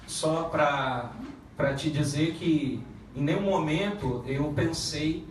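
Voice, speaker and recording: A man who is a federal deputy giving a halting public speech at a meeting, with many restarts and fillers. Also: a little high, slight background noise. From Paraná, Brazil. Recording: echoey room from far off.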